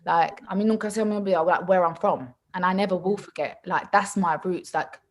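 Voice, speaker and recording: A woman born in England, a mother talking in a casual, animated way with lots of asides and restarts. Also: lowish voice, a little fast. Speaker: mother and podcast guest. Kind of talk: casual conversational chat.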